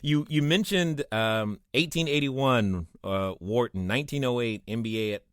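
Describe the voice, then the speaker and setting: A man hosting a podcast, chatting casually and excitedly with his guest. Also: mid-range voice, moderate pace, clear, resonant tone, dry recording, clean studio sound. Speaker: podcast host.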